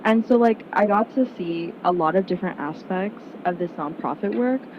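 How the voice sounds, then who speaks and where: A young woman speaks to a room of prospective students in an earnest, chatty way, leaning on "like" and "um" as she goes. Her voice sits a little low for a woman. The recording is muffled, with a clear steady rumble underneath. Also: normal speed. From Miami, United States. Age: young adult. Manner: earnest, conversational, frequent "like" and "um" fillers. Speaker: student speaker at an information session. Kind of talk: casual presentation to prospective students.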